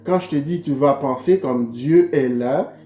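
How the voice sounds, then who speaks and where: A man's voice: mid-range voice, measured pace, warm tone. A man giving informal, encouraging talk about faith, addressing the listener directly and repeating himself for emphasis. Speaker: podcast guest. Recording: roomy, echoing room.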